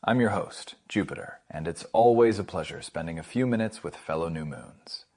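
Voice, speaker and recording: Low, lively-toned male podcast host. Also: normal speed. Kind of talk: scripted show introduction and interview.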